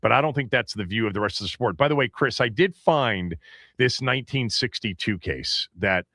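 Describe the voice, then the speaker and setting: A man's voice: medium pitch, lively intonation, normal speed, fairly bright tone, no echo, clean studio sound. A man hosting a sports call-in radio show, taking calls and pressing callers with pointed questions. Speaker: radio sports talk host.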